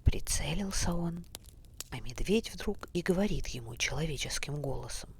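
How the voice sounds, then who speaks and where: A man narrating a fairy tale as a bedtime story in a hushed, breathy, unhurried way. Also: a little high, lively intonation. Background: faint fireplace crackle.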